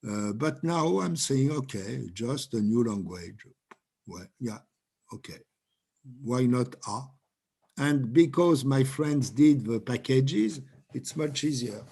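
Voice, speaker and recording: A man with a French accent leads an online training session from a home room, speaking over a video call. He talks slowly and in a halting way, often repeating a word before he finds the next one. His pitch sits in a middle range for a man. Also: expressive, creaky, mellow, slightly rough. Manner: slow, halting, repeats words while explaining.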